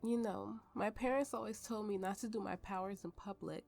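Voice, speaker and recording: A woman playing a tipsy, haunted woman with magical powers, telling her story slowly and haltingly in an intimate ASMR roleplay over music. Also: medium pitch, fairly even intonation, gravelly. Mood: tipsy reminiscing. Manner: halting, drunken rambling with frequent laughs. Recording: voice over soft background music.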